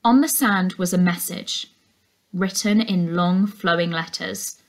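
A woman's voice: fairly low pitch, a little slow. Young woman reading a story. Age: young adult. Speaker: teacher reading aloud. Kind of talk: expressive children's storybook narration. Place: furnished indoor room.

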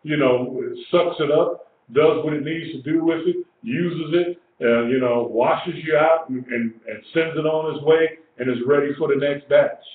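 Male religious teacher, 60s, emphatic and repetitive, medium pitch, echoey small room, phone-like sound. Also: unhurried, few pauses. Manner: emphatic, repeats phrases for emphasis. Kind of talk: informal sermon, teaching to a small group. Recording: echoey, tinny lo-fi webcam stream with hiss.

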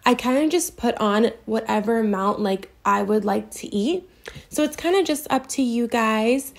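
A young woman with a fairly high voice talks through her cooking as a vlogger. She gushes and fills her sentences with "like." Her tone is easy and chatty. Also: animated, moderate pace, creaky. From US East Coast. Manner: chatty and gushing, heavy use of "like". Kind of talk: casual meal prep voice-over. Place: home kitchen.

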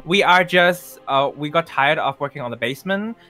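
A man's voice: fairly high pitch, expressive, moderate pace, bright, forceful tone, soft background music. A man playing an uneasy young time traveler who lies badly, hesitating and stuttering through a game roleplay. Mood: nervous bluffing. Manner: hesitant, stuttering, filler-heavy. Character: young man from the future, uneasy, evasive bad liar. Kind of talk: improvised Minecraft roleplay acting.

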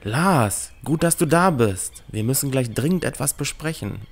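A man's voice: medium pitch, expressive, normal speed. A man playing a troubled village blacksmith and father in a fantasy audio drama. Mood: urgent, worried.

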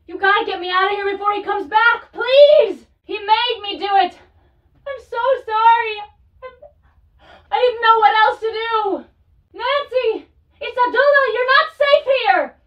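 A woman's voice: very high-pitched, measured pace, distant mic, muffled recording. A woman voicing a young woman detective trapped in a tomb, scared and pleading, joking nervously. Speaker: game protagonist. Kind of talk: video game voice acting.

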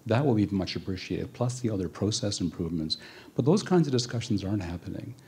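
A man's voice: fairly low pitch, animated, quick pace, a little mellow, husky. A middle-aged male clinician speaking earnestly and with frustration into a handheld mic at a conference forum. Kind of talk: audience floor comment. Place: large conference hall.

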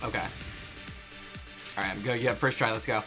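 An excitable, sweary player bantering casually through a video game fan dub. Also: mid-range voice, moderate pace. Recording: game music behind the voice. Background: video game music.

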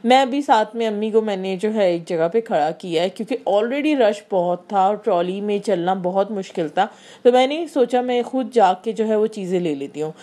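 Mellow-voiced Pakistani woman vlogger. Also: mid-range voice, animated, moderate pace. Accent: Karachi Urdu. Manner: chatty, explains each item, frequent fillers. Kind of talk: casual grocery haul voice-over.

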